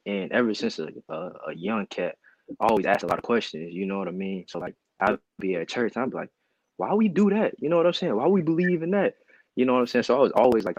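A man's voice: fairly low pitch, no room sound, poor audio. A young man of nineteen, a podcast guest who tells his story in a casual, chatty way. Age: young adult. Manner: casual and chatty, full of fillers.

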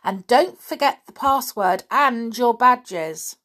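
A woman voicing a bold young boy who calls out loudly in a children's story reading. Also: a little high, lively intonation, slow pace, voice pushed up, heavily acted. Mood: indignant. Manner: calling out loudly, eager. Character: brave boy, club leader, shouting.

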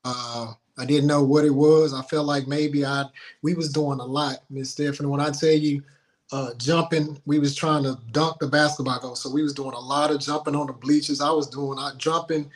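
A man telling personal stories casually as an interview guest, in an informal, halting, colloquial way. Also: on the high side, flat delivery. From Houston, Texas, United States.

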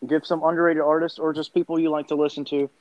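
A young man hosting a casual podcast, moving the talk along with relaxed questions. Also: a little high, fast, dry recording, muffled recording. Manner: casual, steering the topics with questions. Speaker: podcast host.

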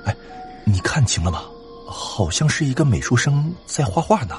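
A man's voice: mid-range voice, very animated, normal speed, music in the background, natural voice. A man playing a curious, easily startled patrol officer in an audio drama, inquisitive and exclaiming. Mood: puzzled.

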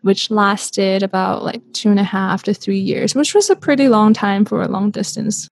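A woman's voice: mid-range voice, normal speed, gravelly, no room sound. Chatty woman guest in her thirties. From Canada. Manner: chatty, animated, peppered with "like". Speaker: interview guest. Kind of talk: casual storytelling in an interview.

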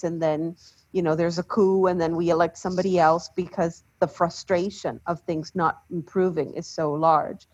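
A woman from Ecuador, a development expert speaking in a measured, deliberate way on a panel. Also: on the low side, husky. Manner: measured, deliberate, trailing off softly. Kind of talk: panel discussion. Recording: dull lo-fi sound.

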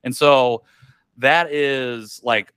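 A man's voice: medium pitch, fairly even intonation, very slow, fairly bright tone, clean tone, no echo. A man, a podcast guest telling a candid personal story in a reflective way, with many likes and ums. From United States.

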